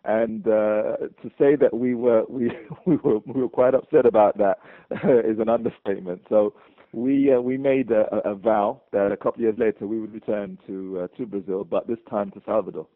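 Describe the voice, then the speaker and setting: A man's voice: fairly low pitch, fairly even intonation, no room sound. London man on a tinny phone line. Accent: London English. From England. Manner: halting, many repeated words and restarts, chuckling. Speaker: guest, bar owner. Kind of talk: casual interview.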